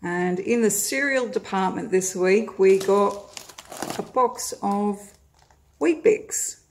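Chatty Australian mum with a gravelly voice. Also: female voice, mid-range voice, lively intonation, slow, a little mellow. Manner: friendly, chatty, with frequent "uh" fillers. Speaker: vlogger. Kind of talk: casual grocery haul vlog. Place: home, indoors, at a table of groceries.

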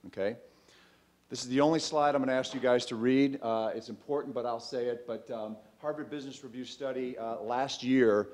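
A man in his thirties pitches his company from a stage in a large, darkened auditorium. He keeps it conversational, with plenty of ums and restarts. He speaks into a stand mic at a medium, ordinary pitch. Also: a little mellow. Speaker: startup CEO pitching. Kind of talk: conference pitch talk.

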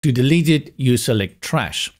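A man's voice: medium pitch, animated, normal speed. A South African man whose first language is Afrikaans, explaining a website setup step by step in a patient screen-recorded tutorial. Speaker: web design instructor.

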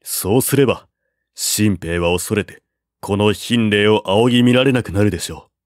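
Man doing a cautious male strategist, lowered voice, quiet and measured, storybook reading. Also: dry recording, clean studio sound, lightly acted. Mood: calm counsel. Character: calm shrewd male strategist, cautious adviser.

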